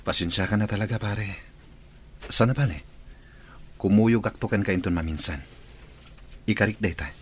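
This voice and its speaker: A man's voice: lowish voice, normal speed, pauses often, husky. A man with a Filipino accent playing a preoccupied, brooding boyfriend in a radio drama. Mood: apologetic. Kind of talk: Ilocano radio drama acting. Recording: noisy ambience behind the voice.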